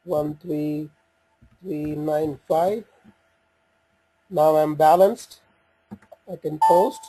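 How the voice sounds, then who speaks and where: A man giving an unscripted software walkthrough, talking through each step in a halting way as he thinks aloud. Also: a little high, steady tone, poor audio. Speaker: software demo presenter.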